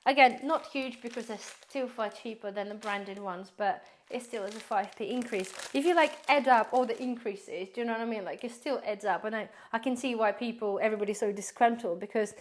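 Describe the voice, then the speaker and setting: A woman prepper vlogger chatting casually through a grocery haul, rambling, addressing viewers as guys, her voice slightly hoarse. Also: a little high, fairly quick, few pauses, slight background noise. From based in the UK.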